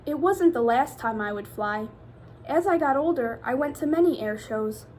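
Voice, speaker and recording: A woman with a fairly high voice reads a children's book aloud in an expressive style. She speaks straight to young listeners as a teacher would, over some background noise. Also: normal speed. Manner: addresses young children directly with questions.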